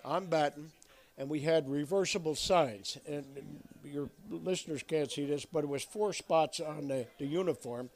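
An older man recalls his playing days in an interview, his voice sitting a little high for a man. He tells the story in a plain, unhurried, reminiscing manner. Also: a little mellow. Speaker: interview guest, former ballplayer.

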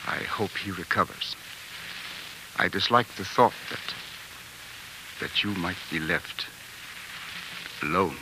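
An actor playing a courteous, hesitant gentleman offering sympathy in the style of 1940s radio drama. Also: low-pitched, slow pace, pauses often. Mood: solicitous, hesitant. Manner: polite, hesitant. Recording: noisy, hissy old radio recording.

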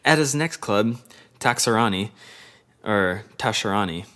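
A man co-hosting a casual sports podcast, reading out player stats with a loose, jokey manner and halting restarts. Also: fairly low pitch, animated, sharp tone. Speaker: podcast co-host.

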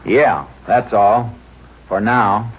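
An American actor playing a shrewd, dogged Texas Ranger, terse and matter-of-fact, in an old-time radio detective drama. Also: male voice, lowish voice, drawn-out words, heavy background noise. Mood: probing, dry. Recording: muffled old radio recording, music behind.

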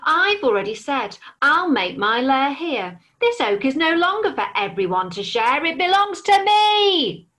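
Storybook: stubborn fire-breathing dragon, slow bossy growl, read by a woman. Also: a little high, sing-song, bright, forceful tone, heavily acted.

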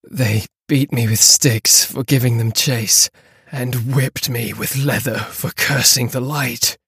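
A woman's voice: extremely low pitch, fairly even intonation, slow, fairly bright tone, breathy edge, dry recording, voice pushed down, heavily acted. A woman voicing a devoted, brave man in love, solemn and tender, in a dramatic gothic story. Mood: pained, tender. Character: devoted, brave young male lover, gentle and solemn.

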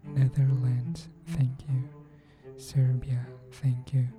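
A woman hosting a podcast, thanking her listeners in a soft, often near-whispered, unhurried voice. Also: a bass voice, flat delivery. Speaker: podcast host. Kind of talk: thank-you message to listeners. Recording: cello music playing under the voice.

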